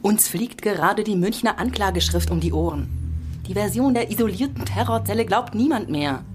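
An actress playing a weary, sharp-tongued federal prosecutor in a radio play, blunt and exasperated. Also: female voice, medium pitch, animated, moderate pace, music in the background, natural voice.

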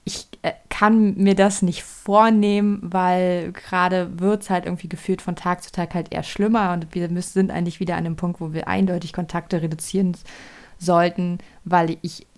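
Hesitant young woman, lowish voice. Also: little pitch movement, normal speed. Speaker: podcast co-host. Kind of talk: casual podcast chat.

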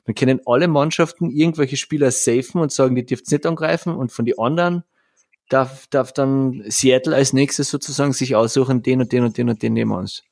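Man, mid-pitched, playful co-host, jokes and laughs between guesses. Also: a little fast, vocal fry, darkish tone, no echo, studio quality. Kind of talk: casual chat.

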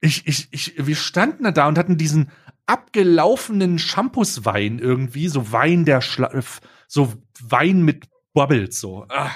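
A man's voice: on the high side, a little slow, slightly rough. A young man co-hosting a podcast, telling a story in casual slang with lots of restarts. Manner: animated, slangy, frequent restarts. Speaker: podcast co-host.

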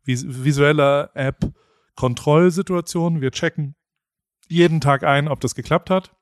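A man's voice: on the high side, a little slow, no echo. Joking German man, dry close sound. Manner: joking, teasing, laughs often. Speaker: podcast co-host. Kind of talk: casual chat. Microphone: headphones on, recording remotely.

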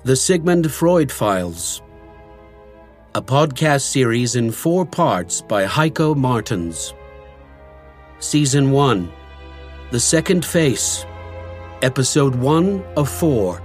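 A man narrating an audio drama from a script in a measured, formal storytelling manner. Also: medium pitch, big pitch swings, faint music behind.

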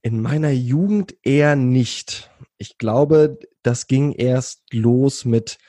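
A man's voice: medium pitch, slow pace, warm tone, no room sound, studio quality. A young man from Berlin, recounting his youth as an interview guest in a rambling way, with many fillers and restarts. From Berlin, Germany. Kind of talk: relaxed conversational storytelling.

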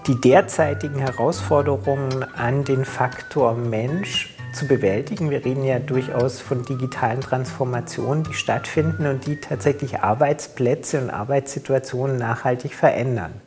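A man with a cold and a congested voice, co-hosting a business podcast and explaining in a formal, measured way. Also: medium pitch, music in the background. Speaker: podcast co-host, consultant.

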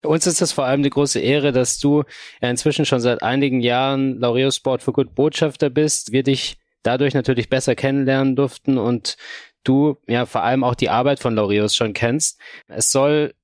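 A man's voice: mid-range voice, steady tone, moderate pace, dry recording, clean studio sound. A man co-hosting a podcast, asking questions in an earnest, formal way. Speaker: podcast co-host. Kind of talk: semi-scripted podcast hosting.

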